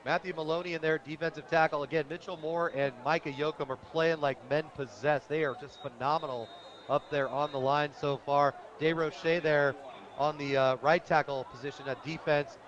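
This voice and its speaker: An American man with a fairly high voice calls a football game live for radio. His delivery is enthusiastic and descriptive, following the action as it unfolds. The sound is a bit dull and lo-fi, recorded outdoors at the stadium. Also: steady tone, moderate pace, fairly bright tone. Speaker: radio play-by-play announcer.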